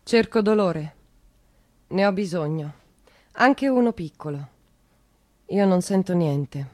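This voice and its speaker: An actress playing an anguished, trapped woman in a theatrical monologue, with a Roman accent and fragmented, repetitive delivery that moves between hushed and shouted. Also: fairly low pitch, animated, slow. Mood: numb anguish.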